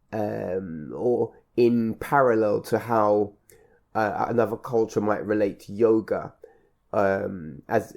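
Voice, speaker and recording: A man speaking reflectively as an interviewer, in measured, formal wording with hesitant pauses. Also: fairly low pitch, vocal fry, a little mellow.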